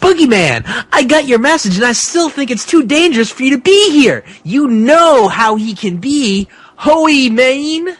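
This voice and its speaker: A man voicing an excitable, fearful friend in a dramatic fan fiction reading, with a husky, excited delivery. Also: very high voice, a little slow, few pauses, no echo, exaggerated voice. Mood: anxious, excited.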